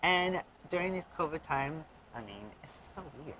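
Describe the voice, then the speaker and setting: A man's voice: a little high, animated, normal speed, sharp tone, a little hiss or hum, low-quality recording. A man co-hosting a casual reality TV recap, emphatic and acting out what others said. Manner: emphatic, acts out quotes. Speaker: podcast co-host.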